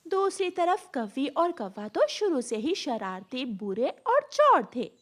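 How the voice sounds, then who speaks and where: A woman's voice: high voice, sing-song, a little slow. A woman narrating a scripted cartoon story as a storyteller.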